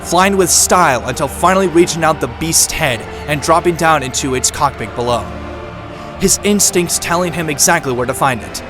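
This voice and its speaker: Higher-voiced man narrating dramatically. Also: moderate pace, music in the background.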